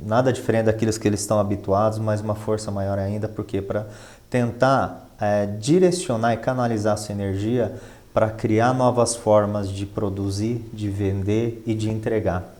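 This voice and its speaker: Low, mellow Brazilian man explaining things. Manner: explanatory, emphatic repetition, rhetorical questions. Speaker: interview guest, finance professional and professor. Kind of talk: conversational expert explanation in an interview.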